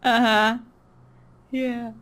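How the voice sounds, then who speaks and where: Slow, hesitant woman with a lightly high voice. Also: level tone, a bit bright, a little hiss or hum. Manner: hesitant, agreeable, trailing off. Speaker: interview guest, online comic dub creator. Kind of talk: casual chat.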